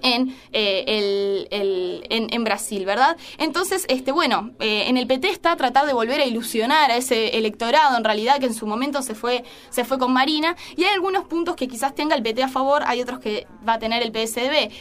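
A woman analyses an election in a relaxed talk. Her voice sits somewhat high, and she circles her points with frequent fillers and self-corrections. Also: a little fast, hardly any pauses. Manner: hesitant, many fillers and restarts. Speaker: political analyst. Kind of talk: conversational political analysis.

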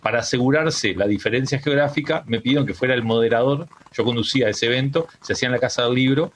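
A man's voice: mid-range voice, fast talker, slight background noise. A middle-aged man with a Uruguayan accent, chatting as a radio guest in a loose, rambling way with many restarts. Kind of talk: casual radio interview. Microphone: home microphone on a remote call.

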